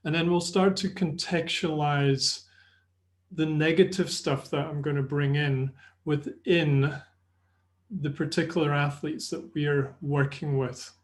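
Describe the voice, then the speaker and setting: A man's voice: a little high, steady tone, unhurried. Chatty Scottish man giving a webinar. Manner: friendly, chatty, with frequent fillers and restarts. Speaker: webinar presenter. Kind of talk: live online lecture. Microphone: video call webcam.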